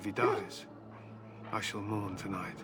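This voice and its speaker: Man playing a solemn, proud warrior, loud music behind. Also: lowish voice, level tone, unhurried, natural voice. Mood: grim resolve. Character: grim, proud male warrior who fights beside hounds. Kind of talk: scripted video game character dialogue. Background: loud game music.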